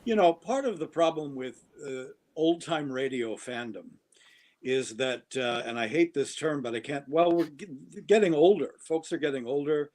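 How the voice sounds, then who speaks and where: A man's voice: on the high side, normal speed, darkish tone. A man guesting on a podcast, talking in a chatty, digressive way with false starts. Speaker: guest actor and writer. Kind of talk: casual podcast conversation.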